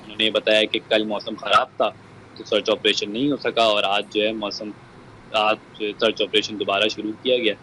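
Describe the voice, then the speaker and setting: A man giving a measured account and appeal as an interviewed relative, dropping in English words. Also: lowish voice, little pitch movement, bright, forceful tone, poor audio. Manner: code-switches into English words. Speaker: bereaved relative being interviewed. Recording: faint background noise.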